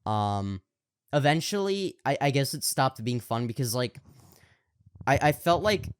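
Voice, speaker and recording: Man, podcast co-host, smooth clear voice, projecting, rambling with fillers, dry close sound. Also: mid-range voice, sing-song, a little slow, no echo. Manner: rambling, many fillers and restarts; projecting voice on camera. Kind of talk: casual chat.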